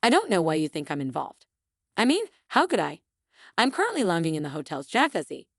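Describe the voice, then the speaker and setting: A woman's voice: on the low side, wildly swooping pitch, brisk, dry recording, clean studio sound, full character voice. A woman voicing a smug, vengeful wife in a story, mock-innocent and taunting.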